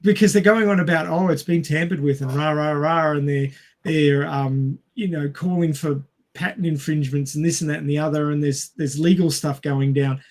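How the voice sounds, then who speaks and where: A man with a somewhat high voice talks about a film as a podcast co-host. His delivery is casual and halting, with hesitant pauses as he builds a thought. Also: fairly even intonation, darkish tone. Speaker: movie discussion podcast co-host.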